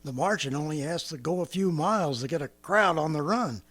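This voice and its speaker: A man's voice: fairly high pitch, animated, brisk, a bit bright. An actor playing a rambling, boastful former militiaman who laughs to himself as he lays out his survival plan, in 1930s radio drama style. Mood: scheming.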